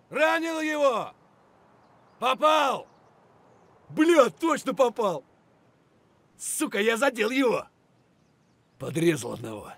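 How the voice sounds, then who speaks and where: Aggressive mercenary soldier. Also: male voice, on the high side, a bit bright, breathy edge, no echo, exaggerated voice. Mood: triumphant, then hushed, wary. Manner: short, punchy tactical callouts, coarse. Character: aggressive, foul-mouthed Russian mercenary soldier in combat. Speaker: video game voice actor. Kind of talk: military shooter combat barks.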